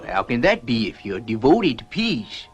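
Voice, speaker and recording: An actor playing a fussy, excitable professor aboard a rocket ship, earnest and halting, in a science fiction series. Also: male voice, mid-range voice, slightly rough, highs cut off. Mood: puzzled. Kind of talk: 1960s children's science fiction puppet series acting. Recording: loud music and engine noise behind.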